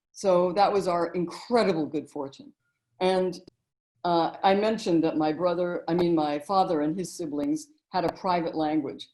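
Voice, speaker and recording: Low-voiced woman, reminiscing guest. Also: low-quality recording. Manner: warm, storytelling reminiscence with frequent "you know". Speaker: guest, author and narrator. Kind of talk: relaxed interview conversation.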